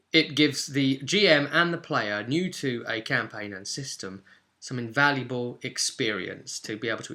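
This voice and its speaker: A man with a very bright, forward voice talks as a game master. He explains in a conversational storytelling style, with pauses partway through his phrases. His pitch sits in an average male range. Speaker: game master recapping a roleplay session. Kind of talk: conversational storytelling recap to camera.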